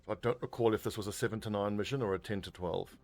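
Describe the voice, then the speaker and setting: A man's voice: fairly low pitch, rapid-fire, a little mellow, faint music behind. A man casually commentating his own strategy game play, thinking aloud and swearing now and then. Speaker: gaming video host.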